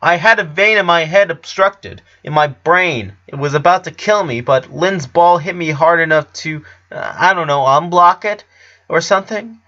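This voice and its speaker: A man voicing a sick eleven-year-old boy in a story reading, weary and subdued, with halting speech. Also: a little high, sharp tone, highs cut off, pitched above the performer's own voice. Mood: awkward explaining.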